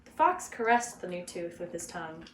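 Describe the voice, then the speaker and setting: A woman narrating a children's story as a reader, in an expressive storytelling style. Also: on the low side, normal speed. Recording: echoey room, faint background noise.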